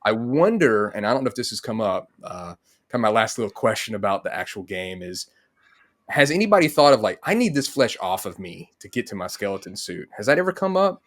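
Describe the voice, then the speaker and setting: A man's voice: lowish voice, very animated, a little fast. A middle-aged man hosting a casual interview in a rambling, conversational way. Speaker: interview host. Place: home room with bookshelf, on video call.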